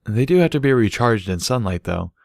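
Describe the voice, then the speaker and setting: A man's voice: medium pitch, expressive, fairly quick, creaky, no room sound, clean studio sound, pitched above the performer's own voice. A man voicing an earnest, thoughtful male unicorn who explains things, in a storybook reading.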